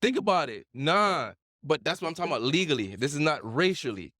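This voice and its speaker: A man's voice: a little high, very animated, a little fast, sharp tone, no room sound. Guy hosting a podcast, super casual slangy talk, swears a lot, emphatic, dry sound. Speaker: podcast host. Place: indoor lounge smoke shop.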